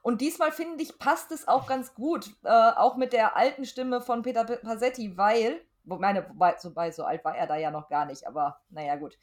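High-voiced woman chatting. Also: moderate pace, few pauses. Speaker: podcast co-host discussing an audio drama. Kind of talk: casual conversational review.

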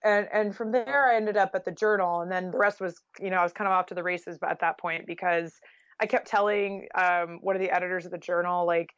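A woman's voice: fairly low pitch, steady tone, brisk, no echo. American woman chatting, dry and slightly muffled. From Oregon, United States. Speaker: interview guest, journalist. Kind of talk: casual interview storytelling.

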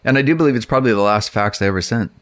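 A man's voice: medium pitch, very fast, fairly bright tone, dry recording. A middle-aged man chatting casually and telling personal anecdotes as a podcast co-host.

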